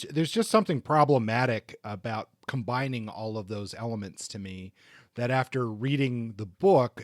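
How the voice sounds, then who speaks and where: A middle-aged man co-hosting a book podcast, talking casually in a halting, hesitant way with measured judgments. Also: fairly low pitch, no room sound, clean studio sound.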